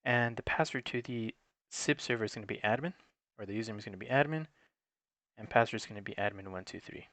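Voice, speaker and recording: Low-voiced man walking through a technical tutorial. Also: fast, fairly bright tone, no room sound. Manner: matter-of-fact, instructional. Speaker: technical support presenter. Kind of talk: unscripted step-by-step tutorial narration.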